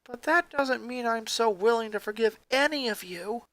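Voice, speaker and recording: A man performs a young boy who is a resentful, wounded big brother in a fan story. He speaks coldly and accusingly, leaning hard on his words, with the pitch pushed up and a dry sound. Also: moderate pace, fairly bright tone, no echo. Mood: bitter, firm. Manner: cold, accusing, emphatic.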